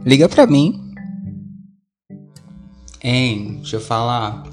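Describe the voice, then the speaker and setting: A man's voice: mid-range voice, darkish tone. Rambling Brazilian man over music. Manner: rambling, conversational, self-correcting. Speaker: podcast host. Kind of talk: casual solo chat, audio diary. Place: at home, alone.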